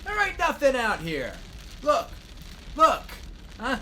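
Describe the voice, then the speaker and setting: Shrill, blustering drunk man. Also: huge pitch swings, slow pace, bright, forceful tone, slight background noise, heavily acted, poor audio. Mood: drunken bravado. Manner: blustering, colloquial. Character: reckless drunk man, defiant in the face of danger. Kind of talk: audio drama acting.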